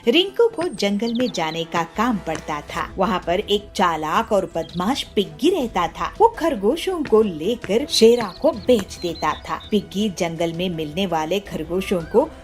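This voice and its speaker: A woman narrating a scripted story for children. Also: mid-range voice.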